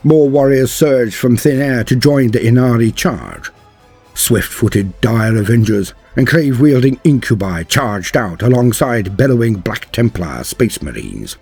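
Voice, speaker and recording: A man's voice: lowish voice, darkish tone, slightly rough. A man narrating a scripted war story in a grave, theatrical storytelling manner. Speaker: narrator of fantasy war lore. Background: music.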